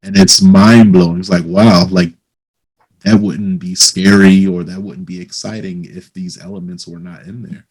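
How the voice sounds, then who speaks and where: A man's voice: low voice, little pitch movement, moderate pace, a little mellow, breathy edge, low-quality recording. A man hosting a casual podcast interview, talking in a hesitant, rambling way and repeating phrases as he builds a thought.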